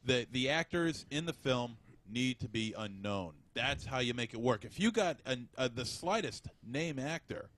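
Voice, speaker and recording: A man co-hosting a podcast, discussing film casually in a halting way, circling back over his words. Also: medium pitch, animated, fairly bright tone, a little hiss or hum. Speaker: podcast co-host.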